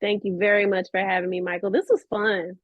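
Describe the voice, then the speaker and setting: A woman's voice: medium pitch, flat delivery, brisk, no echo, narrow, tinny audio. An American woman talking casually and expressively as an interview guest, with plenty of enthusiasm. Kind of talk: casual chat.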